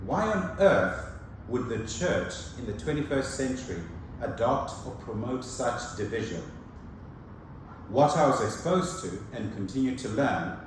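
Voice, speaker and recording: A man whose first language is Shona, giving a sermon as a preacher, measured and earnest, with slow emphatic phrases. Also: fairly low pitch, slight background noise. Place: church hall. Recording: echoey church from far off.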